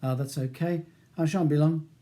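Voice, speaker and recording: A male narrator plays a barrister in an audiobook. He is courteous and reserved, choosing educated words with some hesitation. His tone is mellow and fairly high for a man. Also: fairly even intonation, normal speed, close to an everyday voice. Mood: polite. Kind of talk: audiobook character dialogue.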